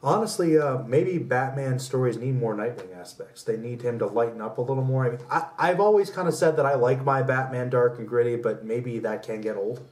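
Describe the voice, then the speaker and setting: A man's voice: mid-range voice, a little fast, few pauses, darkish tone. A man co-hosting a casual pop culture podcast, rambling and opinionated as he chats. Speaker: podcast host. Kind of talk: casual conversational debate about pop culture. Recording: roomy echo, distant from mic.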